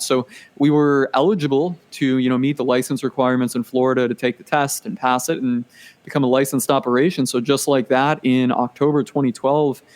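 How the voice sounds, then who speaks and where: Brief: late-20s man, guest on a video-call chat podcast, lively storyteller, laughs easily. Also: medium pitch. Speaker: podcast guest, business owner. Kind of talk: casual interview chat.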